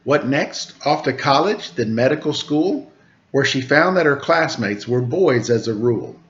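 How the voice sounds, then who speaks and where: A man reading a rhyming children's picture book aloud in a sing-song storytime manner, giving the quoted lines a lively lift. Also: medium pitch, a little slow, dull audio.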